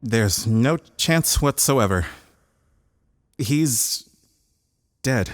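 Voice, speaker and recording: An actor playing a defensive, suspicious paleontologist in an audio drama, with a curt, sarcastic, indignant way of talking. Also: male voice, mid-range voice, expressive, extremely slow. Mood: cold, shaken.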